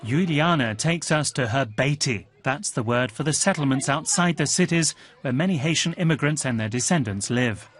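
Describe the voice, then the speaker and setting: A man narrating a television news report in a measured, scripted voice, also voicing translated quotes. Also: medium pitch. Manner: measured, even reporting delivery.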